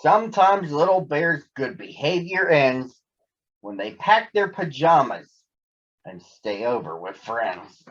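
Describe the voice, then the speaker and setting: A man with a husky voice reading a children's story aloud expressively, with theatrical pauses. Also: a little high, very animated, fairly bright tone. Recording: dull lo-fi sound.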